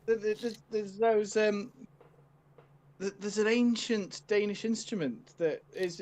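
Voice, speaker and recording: A man with a slightly higher-than-usual voice talks eagerly, tripping over his first words and restarting. His delivery is loose and conversational, in the style of a friendly podcast chat. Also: darkish tone, a little hiss or hum. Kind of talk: casual group chat.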